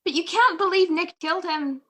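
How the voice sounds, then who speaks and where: A woman's voice: very high voice, moderate pace, a bit bright. A woman playing a detective's spirited wife, earnest and insistent as she defends him in an old-style radio mystery. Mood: indignant. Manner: earnest, insistent, indignant pleading. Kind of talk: 1940s detective radio play acting. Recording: video call recording.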